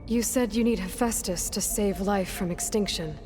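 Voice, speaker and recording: A woman's voice: mid-range voice, normal speed, darkish tone, lightly acted. An actress voicing a determined young woman hunter in a video game cutscene, asking direct, probing questions. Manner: direct, inquisitive. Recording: game cutscene with music, distant.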